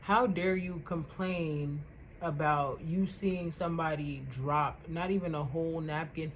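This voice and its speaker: A young woman vlogger with a loud voice, ranting casually to the camera in an animated, indignant way and repeating words for emphasis. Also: low-pitched, a little slow, a little hiss or hum.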